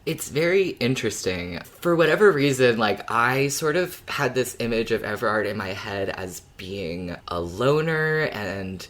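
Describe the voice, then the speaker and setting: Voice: mid-range voice, expressive, a little slow, few pauses, fairly bright tone. Conversational podcaster thinking through story details. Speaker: podcast co-host. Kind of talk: casual fan discussion of an audio drama.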